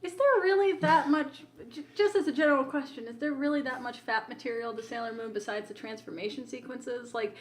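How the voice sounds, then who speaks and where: A woman co-hosting a podcast, chatting casually about animated shows. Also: sits high, fairly quick, few pauses. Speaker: podcast co-host. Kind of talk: casual chat about cartoons.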